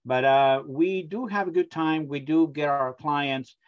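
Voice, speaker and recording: A middle-aged man from Argentina with an Argentine Spanish accent, a software company founder who talks in a hesitant way with many fillers in a remote interview. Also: fairly high pitch, measured pace, highs cut off. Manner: hesitant, many ums and uhs.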